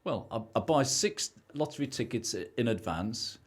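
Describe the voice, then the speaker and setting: A man's voice: medium pitch, expressive, moderate pace, husky. A man acting in an amateur radio play as a desperate, self-pitying man, pleading dramatically. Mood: confused. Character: Gareth, desperate, self-pitying man, broke after a false lottery win.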